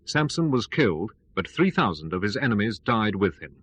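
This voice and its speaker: Guy doing a formal radio news announcer, measured delivery, bit husky, lots of pitch movement. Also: very animated, fairly bright tone, exaggerated voice. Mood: formal, grave. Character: formal male radio newsreader giving headlines. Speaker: newsreader. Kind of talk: dramatized radio news bulletin.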